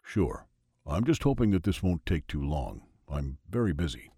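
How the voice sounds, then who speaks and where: A weary, reluctant office worker, as a man reading a story puts him on. The voice drops very low and sounds flat and hesitant, close and dry. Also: moderate pace, husky, no echo, heavily acted. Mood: impatient.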